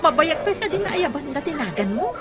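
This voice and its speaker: A woman's voice: sits high, sing-song, brisk, over a noisy crowd. A woman playing a vain, bossy wife and mother in an Ilocano radio comedy, demanding and whiny. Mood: bossy, complaining.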